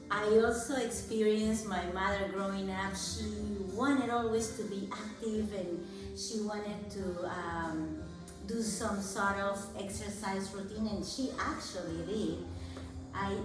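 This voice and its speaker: Earnest woman from Peru, echoey room. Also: medium pitch. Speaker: wellness coach. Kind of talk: conversational explaining. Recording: very echoey room, far from mic.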